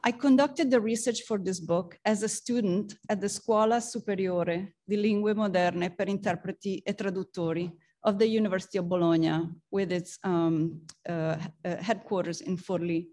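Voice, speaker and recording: A woman's voice: medium pitch, dark tone. A woman from Italy, giving an earnest academic presentation as an author in a university lecture hall, with occasional ums. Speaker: guest speaker and author.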